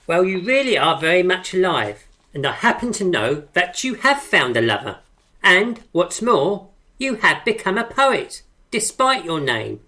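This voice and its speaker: Bossy pretentious poetry club woman, lowish bright voice, lively swoops, radio play. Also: a little slow, full character voice. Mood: grandly encouraging. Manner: grandly articulate, effusive, theatrical. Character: brisk, pretentious leader of an amateur poetry circle. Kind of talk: radio drama acting.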